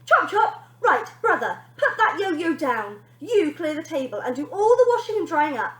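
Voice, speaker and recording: A woman voicing a bossy, shrill young girl who shouts orders and throws tantrums in a storybook reading. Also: big pitch swings, moderate pace, heavily acted. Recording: echoey room.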